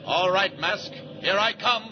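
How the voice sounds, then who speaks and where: An actor playing a bold flying superhero in a 1940s radio drama, talking to himself in urgent clipped phrases. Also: male voice, sits high. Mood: determined. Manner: urgent, clipped, narrating own actions. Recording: noisy muffled 1940s radio, rushing sound.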